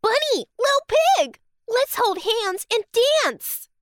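A woman's voice: very high voice, sing-song, slow pace, fairly bright tone, dry recording, clean studio sound, exaggerated voice. A woman voicing a young female fox, childlike, bossy and dramatic, in a children's bedtime story. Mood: excited.

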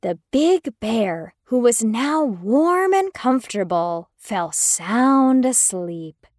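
A woman tells a children's fairy tale with care. Her voice is high and melodic, and she lets each phrase land slowly. The recording is dry. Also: no echo. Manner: expressive, careful. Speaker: storyteller.

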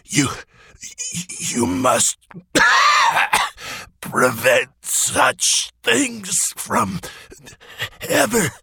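An actor playing a weak, dying old king in a children's story, commanding and anxious, with halting, breathless speech. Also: medium pitch, sing-song, creaky, bright, forceful tone, slight background noise, full character voice. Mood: weak, urgent. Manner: slow, halting delivery. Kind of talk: children's audio story acting.

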